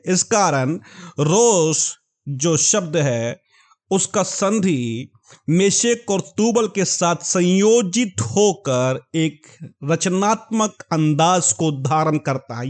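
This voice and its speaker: A man teaching a Bible lesson, carefully spelling out words and earnestly addressing his listeners as his dear ones. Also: fairly high pitch. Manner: slow, deliberate spelling-out of words.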